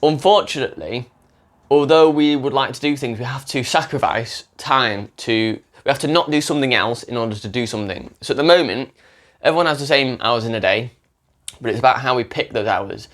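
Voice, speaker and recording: A man's voice: medium pitch, brisk, fairly bright tone. A young man who tutors languages, talking unscripted to camera in a rambling way, often restarting his sentences. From English-speaking country. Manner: rambling, self-correcting, many restarts.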